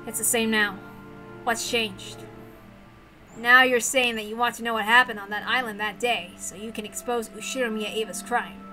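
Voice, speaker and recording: A calm male bodyguard from a visual novel, read by a woman, pressing his point in a measured, admonishing way. The voice is fairly high and bright, with music playing behind it. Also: low-quality recording. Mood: pointed, probing. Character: calm, persistent male bodyguard lecturing a girl.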